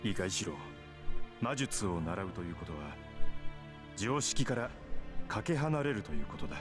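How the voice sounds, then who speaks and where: An actor voicing a calm adoptive father, a mage explaining things to a boy in a measured way in a visual novel. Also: low voice. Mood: grave, calm. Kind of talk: Japanese visual novel voice acting. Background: music.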